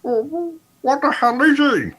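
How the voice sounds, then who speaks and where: Rattled male investigator, as a player puts him on, squeaky and frantic. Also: big pitch swings, slow, full character voice, poor audio. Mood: panicked. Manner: panicky, shouting.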